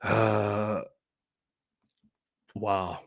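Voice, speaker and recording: A man's voice: fairly low pitch, level tone, very slow, gravelly. A man hosting a gaming channel livestream, casually chatting and answering viewers in a slangy, playful, rambling way. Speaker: YouTube host of a gaming community channel.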